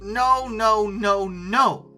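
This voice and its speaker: An incredulous, sarcastic host giving casual reaction commentary, with emphatic delivery and dramatic pauses. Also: medium pitch, sharp tone. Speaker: host of a paleoart reaction video. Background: music.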